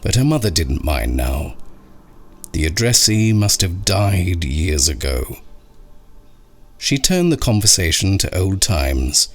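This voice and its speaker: A man narrating a scary short story in a husky voice, with slow, expressive, dramatic delivery. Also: deep voice. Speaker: narrator of horror short stories. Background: music under the voice.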